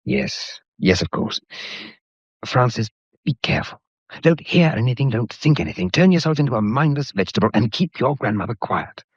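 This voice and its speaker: An actor playing an impulsive, emphatic gentleman in a radio mystery, with clipped delivery. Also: medium pitch, expressive, moderate pace, husky, dry recording, lo-fi sound. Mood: intense, tender. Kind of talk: radio drama acting.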